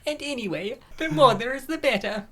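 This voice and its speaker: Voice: mid-range voice, lively intonation, fairly quick, sharp tone, slightly rough. A performer voicing an insistent, food-loving young woman who is breezy and persuasive, in an amateur comic dub. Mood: breezy, coaxing.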